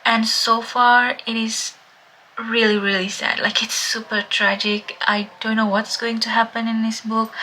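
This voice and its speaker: A woman's voice: fairly high pitch, pushed and bright, a little hiss or hum, rough recording. A young woman who speaks English as a second language, chatting casually and a bit self-deprecatingly in a home vlog. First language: not English. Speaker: vlogger.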